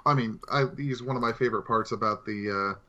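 A man's voice: lowish voice, fairly quick, pushed and bright. A man co-hosting a podcast, giving film opinions in casual conversation, with slightly dull, lo-fi sound. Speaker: podcast co-host.

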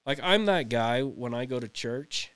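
A man's voice: medium pitch, animated, unhurried, fairly bright tone, clear, resonant tone. A man in his late thirties co-hosting a casual podcast, rambling and laughing often as he chats. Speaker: podcast co-host. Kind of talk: casual chat.

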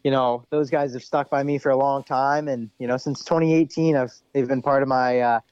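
A man's voice: medium pitch, steady tone, a little fast, darkish tone, clean tone, dry recording, lo-fi sound. A young man, an ATV racer, talking on a podcast in a laid-back, rambling way, full of "you know" and "dude". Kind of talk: casual podcast interview.

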